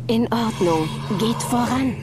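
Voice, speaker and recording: A woman's voice: a little high, slow. An actress playing a concerned, dutiful female adventurer in a video game, solemn and courteous. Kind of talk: video game cutscene acting.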